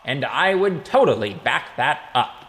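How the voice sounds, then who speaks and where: A man voicing a jolly, bumbling town mayor making a loud public speech in a comic audiobook. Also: mid-range voice, sing-song, a little slow, in a large hall, slight background noise. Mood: proud, excited. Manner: loud, exclamatory public address.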